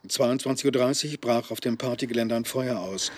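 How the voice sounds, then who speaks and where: A matter-of-fact male newsreader played by an actor reading a scripted radio bulletin in a drama. His voice is mid-pitched and somewhat husky, with formal, factual phrasing. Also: normal speed, natural voice. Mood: neutral.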